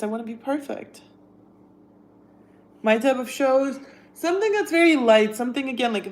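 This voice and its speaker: Young woman, Russian accent. Chatty livestream host, easygoing tone, reads viewer questions aloud. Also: on the high side. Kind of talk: casual livestream chat.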